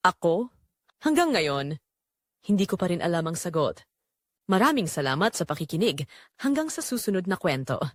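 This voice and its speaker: A woman's voice: fairly low pitch, sing-song, normal speed, pauses often, no echo, clean studio sound. A woman reading a first-person dramatic story in an emotional, confessional manner. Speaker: audio drama narrator.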